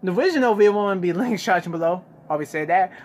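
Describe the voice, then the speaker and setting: A man's voice: sits high, lively intonation, fast talker, darkish tone. A young man chatting casually as a reaction video host, informal and exclamatory, recorded on a phone in a bedroom. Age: young adult. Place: small bedroom, indoors. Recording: noisy home recording.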